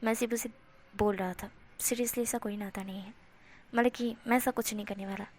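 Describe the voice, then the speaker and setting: A woman voicing a teasing young man who speaks softly and casually in a storytelling video. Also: medium pitch, fairly even intonation, brisk, slight background noise, natural voice.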